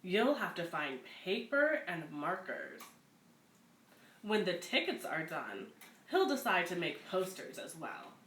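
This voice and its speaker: A woman's voice: on the low side, big pitch swings, slow, a bit bright. Woman reading aloud in an echoey room. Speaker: community center story-time host. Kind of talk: children's picture book read-aloud with casual introductions. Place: echoey room at a community center. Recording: echoey room, clear background noise.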